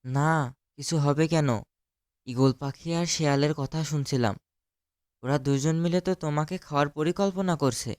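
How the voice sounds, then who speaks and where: A man's voice: on the high side, moderate pace, a little mellow, clean tone, no room sound, studio quality, lightly acted. A man voicing a helpful, watchful animal friend in a children's cartoon, earnest and explanatory. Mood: earnest, warning.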